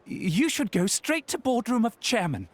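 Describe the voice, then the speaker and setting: An actor voicing a little creature clerk who speaks in broken grammar, searching for words. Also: male voice, extremely high pitch, sing-song, unhurried, exaggerated voice. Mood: eager. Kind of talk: video game voice acting.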